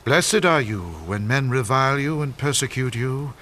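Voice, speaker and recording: An actor playing a steady, solemn apostle who leads believers with measured authority in an audio drama. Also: male voice, medium pitch, slight background noise, natural voice.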